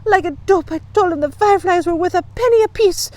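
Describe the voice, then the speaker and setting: A woman voicing an indignant, nervous little girl in a storybook reading, talking in an excitable, plain-spoken way. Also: very high-pitched, fairly quick, no room sound, voice pushed up. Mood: upset.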